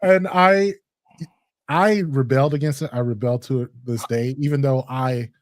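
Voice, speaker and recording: Co-host, male, from Chicago, deep rich voice, calm and thoughtful, mid pitch, dry close mic. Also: no echo. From Chicago, USA. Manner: measured, reflective. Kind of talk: casual chat.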